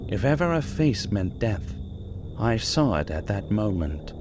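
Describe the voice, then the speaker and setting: An actor playing a haunted, skeptical doctor who recounts horrors in a measured, dramatic vintage radio style. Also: male voice, on the low side, big pitch swings, noisy background. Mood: fearful, dramatic.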